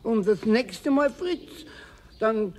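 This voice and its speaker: A man's voice: sits high, expressive, slow pace, a little mellow. A man playing a kindly, teasing old caretaker with a Bavarian accent in a children's radio play. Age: older. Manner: good-natured, repeating himself.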